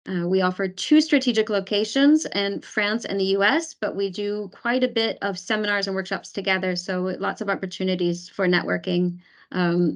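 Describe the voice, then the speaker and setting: A woman hosting an online webinar as program advisor, talking with many fillers and restarts over a video call. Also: medium pitch. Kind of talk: webinar presentation.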